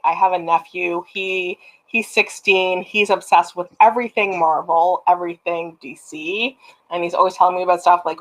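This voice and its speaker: Woman from Canada, young, lowish voice, thoughtful, many ums. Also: a bit bright, low-quality recording. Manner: reflective, hesitant with many ums. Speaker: guest writer. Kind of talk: casual video call chat. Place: home room on a video call.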